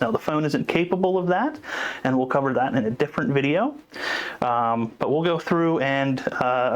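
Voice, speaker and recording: A man presenting an informal instructional walkthrough in a plain, explanatory manner. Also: fairly high pitch. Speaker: support tutorial presenter.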